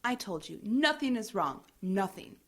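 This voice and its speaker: A woman's voice: mid-range voice, very animated, a little slow. A woman playing an indignant, sarcastic young intern in an audio drama. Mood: hurt, sarcastic. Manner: sarcastic, huffy. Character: indignant young female intern, easily offended.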